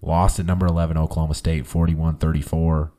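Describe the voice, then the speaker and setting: A hoarse man getting over the flu, hosting a casual solo podcast and talking in a halting way with many fillers. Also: a bass voice, level tone, warm tone. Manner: halting, many ums and uhs, reads off lists of stats. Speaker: podcast host.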